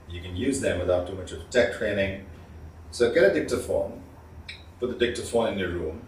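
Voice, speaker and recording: Man training, echoey distant recording. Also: low voice. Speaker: communication skills trainer. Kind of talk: instructional talk on voice modulation. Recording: echoey room, distant mic, faint noise.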